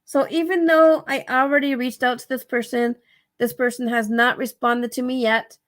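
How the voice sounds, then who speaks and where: Raspy, higher-pitched woman thinking aloud. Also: fairly even intonation, normal speed. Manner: rambling, thinking aloud, self-correcting. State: tired, dry-throated. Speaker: vlogger giving reselling tips. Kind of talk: casual screen walkthrough tutorial.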